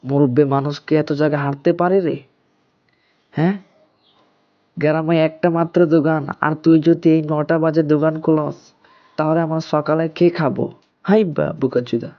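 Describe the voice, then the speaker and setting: A man's voice: on the high side, fairly even intonation, normal speed, long pauses, natural voice. Man acting a nagging elderly village uncle, Bangladeshi accent, rural cartoon dialogue, dull lo-fi sound. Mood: scolding. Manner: scolding, colloquial rural speech. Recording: dull, muffled lo-fi audio.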